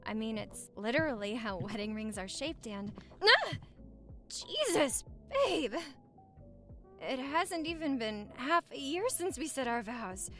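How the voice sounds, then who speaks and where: High, lively, playful young wife played by a woman. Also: measured pace, soft background music, exaggerated voice. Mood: flustered, playful.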